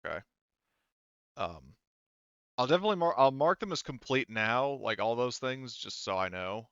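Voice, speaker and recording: A man casually running a tabletop roleplaying game as game master, halting and thinking aloud as he goes. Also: mid-range voice, expressive, fairly bright tone, smooth, dry recording, dull audio.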